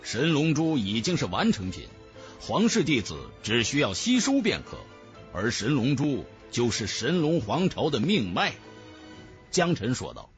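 A man voicing a calm, self-assured young male hero in a fantasy audiobook, speaking in a measured, knowing way. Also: faint music behind. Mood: explaining. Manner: low-key, measured, cool. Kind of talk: fantasy audiobook character acting.

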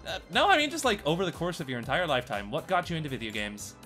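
A young man chats as a podcast co-host with a very animated, swooping pitch. He is playful and teasing, quick to mock himself, and music runs underneath his voice. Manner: playful, teasing, self-mocking. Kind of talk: casual joking chat. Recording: music behind the voice. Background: background music.

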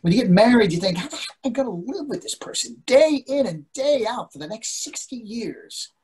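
A man's voice: very high-pitched, animated, brisk, darkish tone, husky, full character voice. An older man reading the part of an anxious, bossy husband in a stage play, fretful and full of questions. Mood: exasperated, wry. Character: anxious, bossy, needling husband in long marriage. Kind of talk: readers theatre play reading. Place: indoors on a video call.

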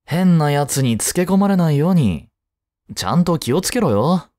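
A man's voice: on the high side, very animated, normal speed, a little mellow, dry recording, clean studio sound. A young man playing a laid-back, protective teenage childhood friend in a casual voice drama, speaking bluntly and teasingly as if to the listener. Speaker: voice actor. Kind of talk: first-person voice drama, casual chat to the listener.

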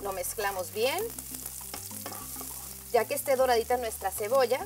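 A woman's voice: a little high, moderate pace. A woman hosting a cooking show, walking viewers through a recipe step by step in an upbeat, friendly way, calling them friends. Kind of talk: scripted cooking demonstration.

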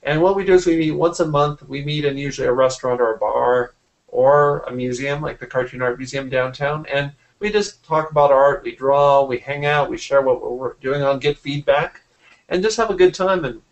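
Man chatting casually, halting and rephrasing. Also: medium pitch, lo-fi sound. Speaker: interview guest, artist and art teacher. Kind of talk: casual interview conversation. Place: small indoor room at home. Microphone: computer mic on a video call.

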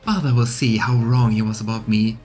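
A man's voice: lowish voice, steady tone, normal speed, darkish tone, natural voice. A boastful, vengeful demon princess from a fantasy video game, acted out by a male streamer. The delivery is haughty and grandiose. Game music plays behind the voice. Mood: triumphant.

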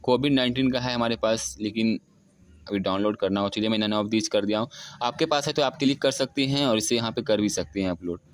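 A man's voice: lowish voice, brisk. A young man of about twenty, walking through a phone app step by step in an unscripted tutorial. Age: young adult. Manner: step-by-step, repetitive narration. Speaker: tutorial host. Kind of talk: app screen-recording walkthrough.

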